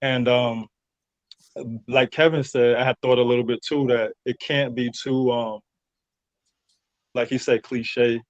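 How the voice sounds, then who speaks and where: Male interviewee, informal and thoughtful, halting delivery, close dry sound but muffled. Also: mid-range voice, steady tone, no room sound. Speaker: radio drama cast member being interviewed. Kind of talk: casual interview chat.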